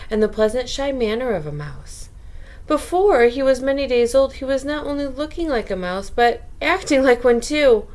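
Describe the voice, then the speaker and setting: A woman with a fairly high voice reads a children's story for bedtime. She is expressive and speaks directly to young listeners, mixing narration with chatty little asides. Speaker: storyteller reading a children's book aloud.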